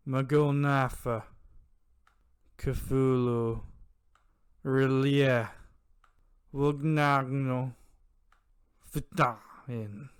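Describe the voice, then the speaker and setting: An actor playing a mad cultist in a radio drama, loudly intoning an eldritch incantation one word at a time. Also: male voice, medium pitch, clear, resonant tone. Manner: loud, slow, intoning word by word. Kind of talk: ritual chant in radio drama.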